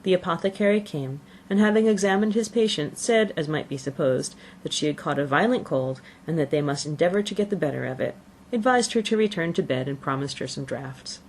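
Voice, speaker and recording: A woman's voice: low-pitched, expressive, fairly quick, darkish tone, a little hiss or hum. A woman narrating a classic novel as an audiobook, reading from the text.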